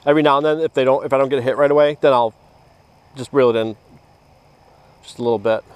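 A man chats casually in an easygoing, halting way while fishing outdoors. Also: medium pitch, smooth, no echo. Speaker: angler filming a fishing outing. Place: outdoors on a grassy pond bank. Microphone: body-worn action camera near the speaker. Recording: noisy outdoor action camera, nature ambience.

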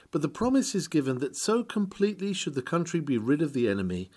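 A man reading an old sermon aloud in a solemn, declamatory way, with formal, measured and emphatic phrasing. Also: mid-range voice, dark tone. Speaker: reader of a historical sermon. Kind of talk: sermon read aloud, formal scripted oratory.

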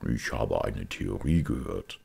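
A man voicing a grave, quiet priest detective in an audiobook, slow, hushed and solemn. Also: very deep voice, lively intonation, creaky, slightly rough, pitched below the performer's own voice, full character voice. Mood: thoughtful. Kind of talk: audiobook character voice.

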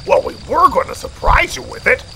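A man's voice: extremely high pitch, huge pitch swings, fairly quick, creaky, sharp tone, a little hiss or hum, heavily acted. A man playing a chatty, keen hunting companion to a princess in an amateur audio drama. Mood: keen, friendly. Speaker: voice actor. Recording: music over the voice.

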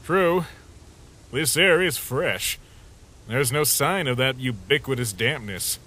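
A man voicing a brash, sarcastic younger skeleton brother with a husky voice in a comic dub, cocky and grumbling. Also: mid-range voice, expressive, takes it slowly, bright, forceful tone, full character voice. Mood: wistful.

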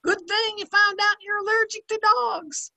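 A woman's voice: extremely high pitch, steady tone, moderate pace, gravelly, sharp tone, exaggerated voice. An older woman playing a brash, motherly, outspoken maid in old-time radio comedy style. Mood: soothing. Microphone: video call computer mic.

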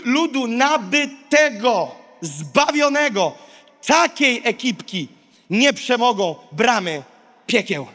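A man in his thirties preaches with a very high-pitched voice. He speaks as a pastor to a big congregation, emphatic and declarative, repeating short phrases. His handheld-mic voice rings through a large hall with long reverb. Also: a bit bright. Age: 30s. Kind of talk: sermon to a large live congregation. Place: large indoor auditorium stage. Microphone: handheld microphone.

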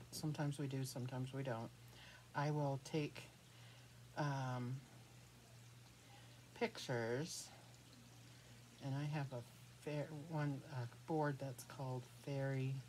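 A middle-aged American woman with a low-pitched voice talks slowly and informally as she shows craft supplies. She thinks out loud and lets sentences trail off. The recording is made in a small room with clear background noise and clicks. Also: poor audio. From United States. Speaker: craft vlogger. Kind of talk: casual rambling craft show-and-tell.

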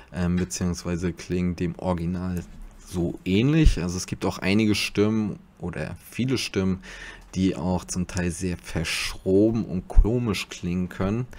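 Low-voiced man explaining software. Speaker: host of a software tutorial. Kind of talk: casual tutorial commentary. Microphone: desktop USB microphone.

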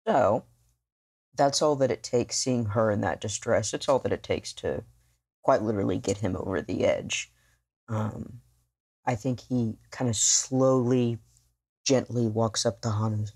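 A man playing a tabletop game, thoughtfully describing his character in halting phrases. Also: fairly low pitch, a little mellow. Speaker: player.

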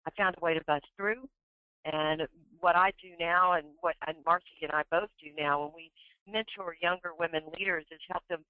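A woman's voice: fairly low pitch, no echo. A woman speaks conversationally as a guest in an interview. She is on a phone line with a thin, band-limited sound and very little room around her voice. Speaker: interview guest, women's leadership mentor.

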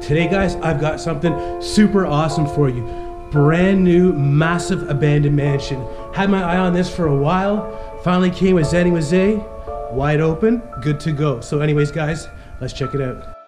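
A man's voice: fairly high pitch, moderate pace, over music. A young man with a stuffy cold, narrating a casual walkthrough of an empty abandoned mansion and chatting to viewers as he goes. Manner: upbeat, keeps addressing viewers as guys. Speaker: urban explorer vlog host.

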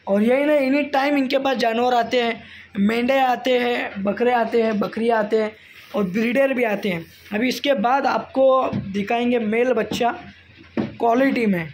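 This is an Indian man with a strikingly high voice for a male. He talks like an eager promoter, casual and enthusiastic, often repeating things. Some noise sits behind him on the recording. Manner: enthusiastic, repetitive, echoes what the seller says. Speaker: vlog host and promoter presenting livestock for sale. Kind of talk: casual sales presentation and interviewing. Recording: handheld phone video with background noise.

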